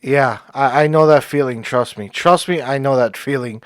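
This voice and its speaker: A man's voice: mid-range voice, moderate pace, dry recording, clean studio sound. A man hosting a podcast, talking casually and thanking his guest effusively, laughing often. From Cuban heritage. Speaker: podcast host.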